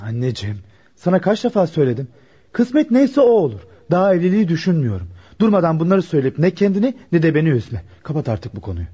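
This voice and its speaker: A courteous young son and shoemaker for radio drama. His voice is slightly high and soft-edged, with a husky grain. The recording is a bit muffled. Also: very animated, quick pace. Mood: pleading, weary. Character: polite, dutiful grown son, a young cobbler.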